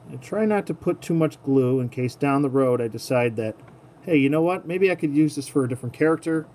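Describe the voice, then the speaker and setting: Casual man over clear background noise. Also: on the high side, animated, brisk, darkish tone. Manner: murmurs to himself while working with his hands. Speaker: toy collector host. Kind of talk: casual hands-on tutorial commentary. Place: indoor tabletop setup. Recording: clearly noisy room ambience.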